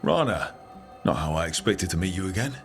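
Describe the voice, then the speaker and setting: Male adventurer, fairly low pitch, steady and willing, plain-spoken, game dialogue, faint music. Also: brisk. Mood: curious, focused.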